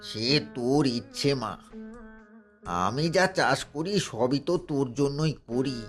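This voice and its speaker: A gentle, doting farmer father in a cartoon fairy tale , acted by a man. He has a medium-pitched voice and a caring manner. Soft plucked guitar music runs behind him. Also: a little slow, close to an everyday voice. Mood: affectionate. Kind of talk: animated fairy tale acting.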